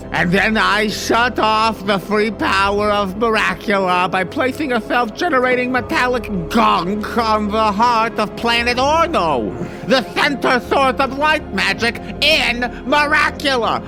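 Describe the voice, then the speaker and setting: A flamboyant villain king, high and wildly animated in pitch. He gloats theatrically, leaping from shouts to mutters, in a gleefully unhinged audio-drama performance with music underneath. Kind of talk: scripted audio drama acting.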